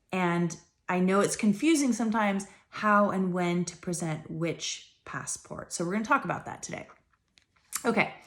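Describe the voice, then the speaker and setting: A woman vlogger explaining something step by step to her viewers in a casual, chatty way, restarting phrases as she goes. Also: fairly low pitch. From United States. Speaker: travel vlogger.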